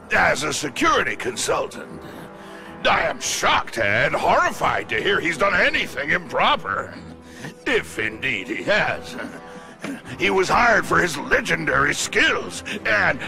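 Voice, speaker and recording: A big, scheming crime kingpin for a video game cutscene. He is deliberate and theatrical, his very forceful voice swooping widely with pompous indignation. Faint music sits underneath. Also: on the high side, creaky, full character voice.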